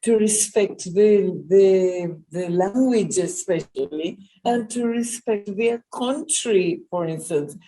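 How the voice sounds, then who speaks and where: A woman on a panel, answering in a halting, deliberate way. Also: mid-range voice, mellow, low-quality recording.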